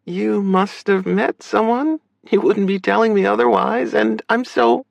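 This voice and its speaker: Audiobook big sister, played by a man: lifted pitch, frank, earnest, caring, emotionally open. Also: a little slow, vocal fry, dry recording, exaggerated voice. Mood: hopeful. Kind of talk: audiobook character dialogue.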